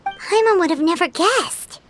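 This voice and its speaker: A woman's voice: very high voice, a little slow, a little hiss or hum. A woman voicing a tiny, naive, childlike fairy companion in a video game, with a cutesy way of talking. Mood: curious. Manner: speaks of herself in third person, cutesy.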